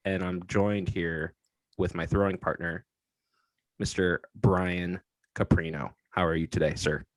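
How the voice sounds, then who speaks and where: A man's voice: low voice, fairly even intonation, unhurried, no room sound, rough recording. Young man, podcast co-host, casual sports chat, laughs a lot, dry close mic. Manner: chatty, laughs often, restarts a lot.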